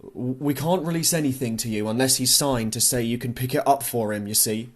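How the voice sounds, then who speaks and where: A man's voice: medium pitch, fairly quick, natural voice. A man reading an audiobook, voicing an officious male counter clerk with a procedural, slightly awkward manner. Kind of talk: audiobook character dialogue.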